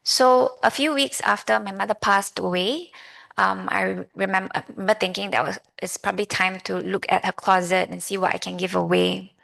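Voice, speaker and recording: Creaky-voiced Malaysian woman, reflective speaker. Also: mid-range voice, steady tone, a bit bright. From Malaysia, grew up in Kuala Lumpur. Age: 30s. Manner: reflective, halting, conversational. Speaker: lay Buddhist speaker. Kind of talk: personal Dhamma talk to an audience.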